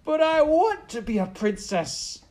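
Man acting downtrodden serving girl, storybook, very high, swooping, wailing, uneducated speech. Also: very animated, measured pace, a little mellow, exaggerated voice. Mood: hopeful, hurt. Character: simple, yearning, downtrodden serving girl, rough-spoken.

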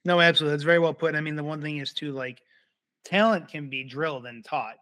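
A man's voice: fairly high pitch, fast, sharp tone, no echo. A man hosting a podcast interview, chatting casually and affirming his guest with upbeat remarks. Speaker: podcast host.

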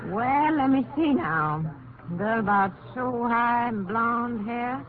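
A woman's voice: fairly high pitch, animated, takes it slowly. An American woman playing a chatty, folksy lunch counter worker with a drawling, exclamatory delivery in a 1950s radio drama. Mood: curious, helpful. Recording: tinny, faintly noisy vintage radio recording.

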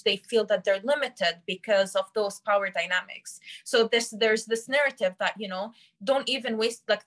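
A woman's voice: medium pitch, fairly bright tone. A woman, hosting an interview and asking a long, earnest question with several restarts. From Lebanon. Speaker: podcast host and interviewer.

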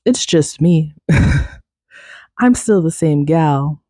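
A young woman rambles through a casual solo podcast, laughing at herself and tossing in plenty of fillers. Her voice is raspy and stuffy from a lingering cold, with a mellow, darker tone. She sounds close to the mic in a dry, dead room. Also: low-pitched, expressive, very slow, no room sound. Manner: rambling, self-deprecating, many fillers and laughs. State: congested, recovering from a cold. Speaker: podcast host.

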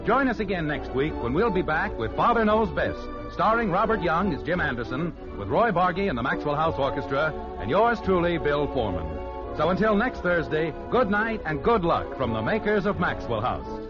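A man's voice: fairly high pitch, very animated, moderate pace. An American man announcing a vintage radio show's scripted sponsor message and sign-off. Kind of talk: old-time radio scripted sign-off and advertising. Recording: dull lo-fi old radio broadcast. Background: music.

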